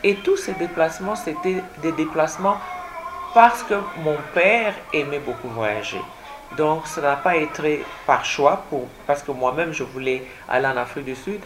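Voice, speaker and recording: A woman's voice: sits low, moderate pace, noisy background. A woman born in Congo whose first language is French, answering radio interview questions as an author, hesitant with many fillers and repeated words. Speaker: guest author.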